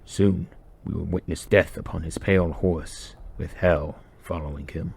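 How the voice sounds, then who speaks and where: Man playing a gruff old soldier, very deep. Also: steady tone, measured pace, exaggerated voice. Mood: grim, weary. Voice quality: gruff, hushed. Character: gruff, weary older male soldier, grim veteran of thirty years.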